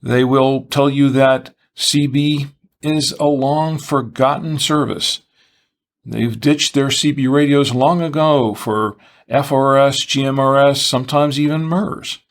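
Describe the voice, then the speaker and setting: A man presenting explanatory product commentary, speaking in measured, deliberate phrases with pauses. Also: medium pitch, fairly even intonation. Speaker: presenter of a two-way radio show.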